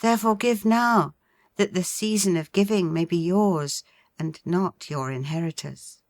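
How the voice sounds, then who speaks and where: Woman reader, low register, solemn and measured literary delivery, close dry sound. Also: no room sound. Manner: measured, formal, deliberate phrasing. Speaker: audiobook reader. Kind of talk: solemn literary recitation of prose poetry.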